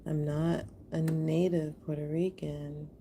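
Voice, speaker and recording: Low, mellow, slow-talking American woman. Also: level tone, a little hiss or hum. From Houston, Texas, USA. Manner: affectionate, appreciative, addresses viewers directly. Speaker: artist hosting a live art stream. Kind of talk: casual livestream chat with viewers while making art.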